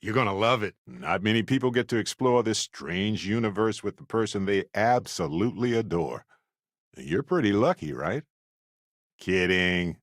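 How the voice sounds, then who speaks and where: An actor playing a wry, good-humored space explorer companion in a video game, talking in an expressive, conversational way. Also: male voice, lowish voice, no echo, clean studio sound. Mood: playful, teasing. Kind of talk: video game character voice lines.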